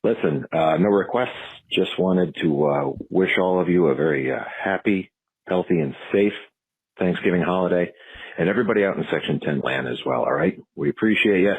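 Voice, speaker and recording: A man's voice: low-pitched, normal speed, slightly rough. A man leaving a casual greeting as a caller, heard on a muffled phone voicemail.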